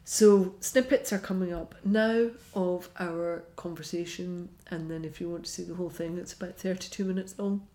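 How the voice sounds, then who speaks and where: Woman, podcast host, enthusiastic, chatty, slightly low voice. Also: fairly even intonation, normal speed, hardly any pauses, dark tone. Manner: enthusiastic, chatty, laughs easily. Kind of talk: casual podcast chat and interview.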